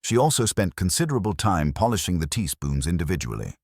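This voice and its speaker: A man's voice: low voice, sing-song, fast, darkish tone, no echo, clean studio sound, heavily acted. A man voicing a fussy, precise woman who gives evidence in formal, prim wording. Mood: prim, confiding. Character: fussy, precise civic-circle woman confessing to a police inspector.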